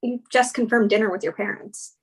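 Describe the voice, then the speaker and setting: A woman playing a frank twenty-seven-year-old girlfriend in a screenplay table read, speaking in a dry, deadpan way. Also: mid-range voice, a little fast, slightly rough, poor audio. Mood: wry, careful.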